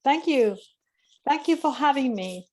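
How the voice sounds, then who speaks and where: A woman speaking as a webinar guest, softly and slowly leading a guided relaxation over a video call. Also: high voice, a little hiss or hum. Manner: calm, soft-spoken, slow guiding. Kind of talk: slow guided relaxation instructions.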